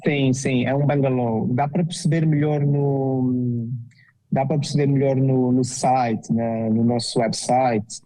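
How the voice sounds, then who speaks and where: A man with a thick Azorean Portuguese accent talks as a guest in a casual interview. His voice is dark and mellow. He hesitates often, filling pauses and restarting his sentences. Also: mid-range voice, fairly even intonation, poor audio. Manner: hesitant, with many fillers and restarts. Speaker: guest architect.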